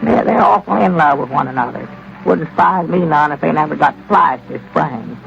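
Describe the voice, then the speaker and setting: An actor playing a country storekeeper with a folksy drawl in a 1930s radio comedy. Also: male voice, fairly high pitch, normal speed, vocal fry, a bit bright, a little hiss or hum. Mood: easygoing. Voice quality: folksy rural drawl with plain country grammar. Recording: lo-fi old radio broadcast.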